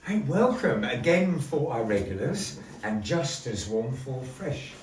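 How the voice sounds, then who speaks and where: A man telling a dramatic adventure tale to a live audience, slow and theatrical, with playful asides. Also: mid-range voice, very animated. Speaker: puppet show storyteller. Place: small gallery room with audience. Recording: roomy echo, distant mic, faint noise.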